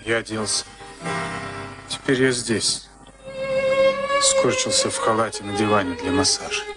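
An actor playing a brooding, stubborn boxer in a Soviet radio play, speaking in an intense, introspective, insistent way. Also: male voice, lowish voice, wildly swooping pitch, unhurried. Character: brooding, stubborn professional boxer before a fight. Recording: archival radio drama, loud music behind.

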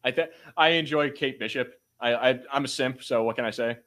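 A man's voice: mid-range voice, fairly quick, a bit bright. Informal male podcast host bantering casually. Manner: informal, slangy, swearing.

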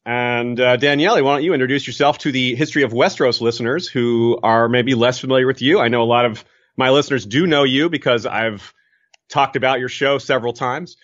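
A man's voice: medium pitch, steady tone, fairly quick, fairly bright tone, dull audio. A man who hosts a podcast, talking in a casual, upbeat and friendly way. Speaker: podcast host.

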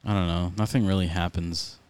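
A man's voice: low voice, steady tone, brisk, a little mellow, smooth. A young man hosting a podcast in an easygoing, laid-back chat. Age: young adult. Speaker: podcast host. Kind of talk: casual chat.

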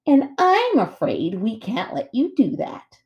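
A woman's voice: fairly low pitch, animated, a little slow, highs cut off. A woman voicing a young sister bear in a storybook, bossy and menacing, with drawling sarcasm. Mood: mock-polite menace.

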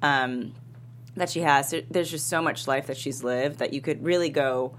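Low-voiced American woman chatting on a panel. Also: moderate pace, a little hiss or hum. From Texas, USA. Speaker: table read panelist. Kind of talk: casual panel chat.

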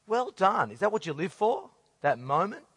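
A middle-aged man with a hoarse, croaky voice, preaching a sermon with earnest rhetorical questions. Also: high-pitched, very animated, moderate pace, fairly bright tone, no room sound, studio quality. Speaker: conference speaker.

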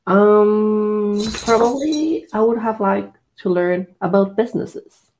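A man's voice: sits high, steady tone, slow, a little mellow, slight background noise. A young man co-hosting a podcast, chatting casually and hesitantly with many fillers. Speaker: podcast co-host. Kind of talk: casual chat.

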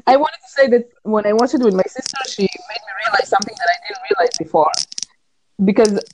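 Woman chatting, lively pitch. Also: fast talker, noisy background. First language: Hebrew. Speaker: podcast co-host. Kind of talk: casual chat.

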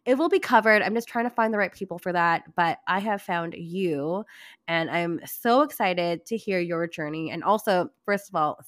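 A woman hosting a casual podcast, her voice hoarse and raspy from a cold, bubbly and gushing as she talks. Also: on the low side, dry recording, studio quality. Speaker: podcast host.

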